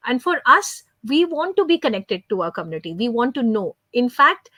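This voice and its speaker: A woman's voice: on the high side, big pitch swings, moderate pace, sharp tone, dry recording, studio quality. A middle-aged woman from India speaking as a panelist in an online discussion, talking conversationally and often correcting herself mid-sentence.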